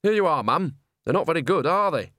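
A man's voice: on the high side, lively intonation, a little fast, fairly bright tone, no echo, studio quality, pitched above the performer's own voice. Man doing a chatty old witch woman. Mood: breezy. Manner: gossipy, breezy.

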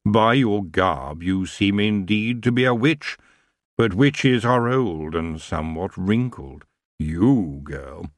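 A man voicing a lazy, seductive male elf king who drawls his words slowly. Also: lowish voice, expressive, no echo, clean studio sound. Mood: languid. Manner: slow, languid, drawling.

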